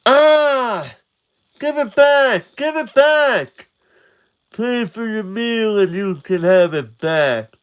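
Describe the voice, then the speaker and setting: A man's voice: high voice, expressive, slow, smooth, voice pushed up. A man voicing a bossy, taunting man in a market crowd in a retold game story. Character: gruff grabbing man in the market.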